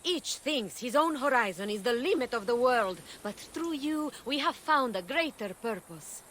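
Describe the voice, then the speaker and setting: A composed woman healer in an ancient-world game. Her voice is fairly high, gentle and earnest, with measured phrasing over faint background noise. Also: expressive. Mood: warm, teasing. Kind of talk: video game cutscene acting.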